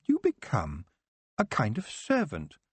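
A man's voice: on the high side, huge pitch swings, moderate pace, breathy edge, dry recording, pitched above the performer's own voice, full character voice. A man voicing a cold, emotionless female elf who speaks in a cool, detached, probing way.

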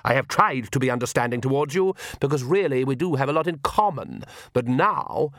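A man's voice: mid-range voice, animated, a little fast, a bit bright, no room sound, clean studio sound. A man voicing an arrogant, urbane vampire count with a mocking, theatrically polite manner. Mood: smug, taunting.

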